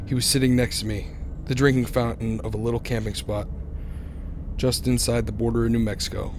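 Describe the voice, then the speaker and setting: A man's voice: medium pitch, close to an everyday voice. Actor as haunted, unraveling man, noisy recording. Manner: stammering, anxious. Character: haunted man on a road trip, frightened and unraveling. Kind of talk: radio drama first-person narration and acting. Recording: noisy radio drama recording, vehicle ambience.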